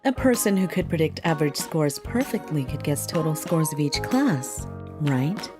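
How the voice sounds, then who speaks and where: A woman's voice: low-pitched, lively intonation, moderate pace. A woman voicing a playful, teasing young female teacher in a dubbed manga story, over background music. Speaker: voice actor in a manga dub.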